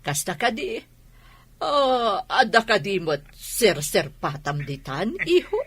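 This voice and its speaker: A woman playing a haughty, fussy, expressive mother in an Ilocano audio drama. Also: medium pitch, slow pace, slightly rough, full character voice. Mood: fussing. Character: haughty, expressive mother, fussy about her son's match.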